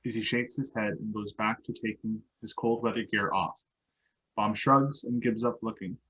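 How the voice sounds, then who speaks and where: A man's voice: fairly low pitch, steady tone, normal speed, bright, forceful tone, slightly rough, muffled recording. A man reading stage directions as scripted narration for a play.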